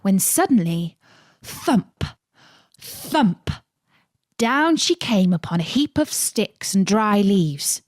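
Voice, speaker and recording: A woman's voice: mid-range voice, big pitch swings, takes it slowly, no room sound, studio quality. A woman reading a children's story as a scripted narration.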